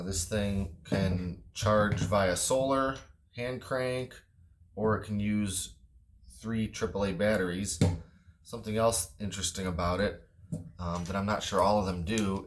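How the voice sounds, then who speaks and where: A man with a low-pitched voice reviews a product off the cuff. He is matter-of-fact and a little tentative, with an understated delivery. It sounds like casual, unscripted talk. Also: slight background noise.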